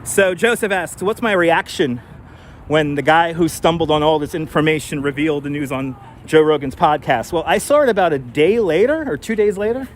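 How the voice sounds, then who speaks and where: A man's voice: a little high, moderate pace. A man with a mild New York accent hosting a casual walking live stream outdoors, talking to viewers with lots of fillers and restarts on a clip-on mic. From New York City. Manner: chatty, thinks aloud with fillers and restarts. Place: outdoors on city streets. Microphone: wireless clip-on mic. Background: faint street traffic.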